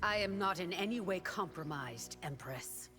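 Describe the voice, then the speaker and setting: An actress playing a devoted, respectful royal bodyguard in a video game, formal and earnest. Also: female voice, on the low side, lively intonation, moderate pace. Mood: earnest, defensive. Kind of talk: video game character dialogue. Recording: loud music over the dialogue.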